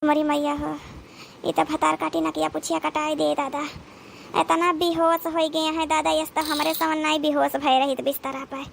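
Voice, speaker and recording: A dramatic elder sister-in-law in a cartoon, extremely high with a gravelly creak. She scolds and laments with loud exclamations in an Awadhi accent, over faint background noise. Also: little pitch movement, moderate pace. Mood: alarmed scolding. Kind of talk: cartoon comedy dialogue.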